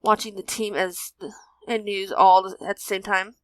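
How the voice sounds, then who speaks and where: A woman's voice: medium pitch, little pitch movement, moderate pace, dry recording, poor audio. A woman narrating a scripted adventure story as its storyteller.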